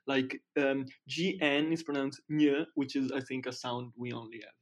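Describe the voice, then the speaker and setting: A man in his twenties with an Italian accent, a guest chatting casually in careful, halting English learned as a second language. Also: mid-range voice, flat delivery. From Italy. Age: 20s. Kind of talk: casual chat.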